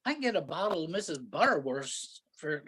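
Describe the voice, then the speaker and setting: A man's voice: on the high side, very animated, brisk, bright, forceful tone, husky, exaggerated voice, rough recording. A man playing an impatient, sarcastic, exasperated husband on vacation in an amateur staged play reading. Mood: incredulous, grudging. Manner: sarcastic, griping, incredulous.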